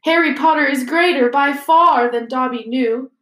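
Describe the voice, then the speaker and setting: A woman voicing a small, servile male house elf with a shrill, squeaky voice and an emphatic, excitable manner. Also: slow, roomy sound, exaggerated voice. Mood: adoring, eager. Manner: speaks of himself in third person, emphatic.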